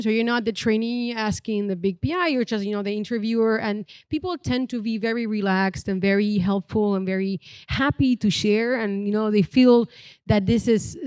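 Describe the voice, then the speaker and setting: A woman in her thirties with an Argentinian accent, a Spanish native speaker, talking on a live podcast panel. Her voice sits fairly high, and she pauses often to find the right word. The sound is close and dry. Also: a little mellow, no echo. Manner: thoughtful, searching for words, many fillers. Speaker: podcast co-host.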